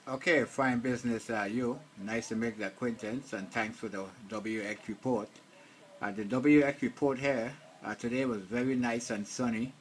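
A man's voice: mid-range voice, fairly even intonation, moderate pace. Man on ham radio, faint noise. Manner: procedural radio phrasing, repeats key details. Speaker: amateur radio operator. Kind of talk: casual ham radio contact.

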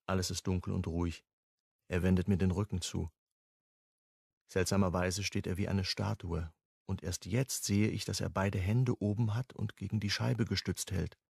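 An actor playing a reflective, wry amateur sleuth, narrating a radio crime drama in an understated, pensive way. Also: male voice, low-pitched, steady tone, moderate pace, gravelly, a little mellow, slightly rough, dry recording, clean studio sound, exaggerated voice.

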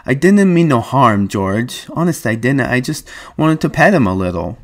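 A man's voice: very animated, normal speed. A man doing a childlike, pleading big man. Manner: breathless, pleading, higher voice.

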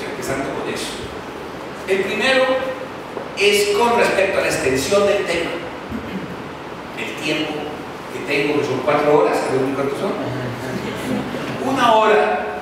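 A middle-aged man with a Colombian accent, giving a university lecture into a handheld microphone, telling anecdotes with humorous asides. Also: high voice. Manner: animated storytelling with humorous asides. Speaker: lecturer presenting his book. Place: large lecture hall, indoors. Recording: echoey hall from far off with noise.

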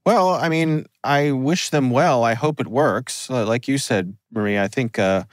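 A man co-hosting a podcast, relaxed and wry in casual chat. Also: mid-range voice, unhurried, no echo, clean studio sound. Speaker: podcast co-host.

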